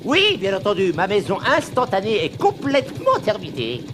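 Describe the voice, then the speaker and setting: High-pitched, excitable old inventor. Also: male voice, normal speed, background noise. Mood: proud. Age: older. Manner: theatrical, exhorting, fussy. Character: excitable grumpy old inventor with grand schemes. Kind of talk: French-dubbed cartoon acting.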